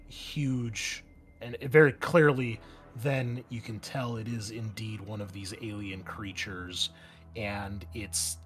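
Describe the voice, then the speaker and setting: A man narrating a tabletop roleplaying game as game master, describing scenes haltingly and correcting himself as he goes. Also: fairly low pitch, fairly bright tone. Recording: clear background noise.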